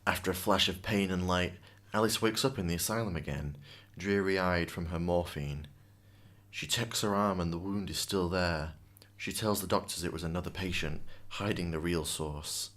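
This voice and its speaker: A man's voice: deep voice, moderate pace, a bit bright. A man giving dramatic, scripted story narration in a solemn, ominous manner.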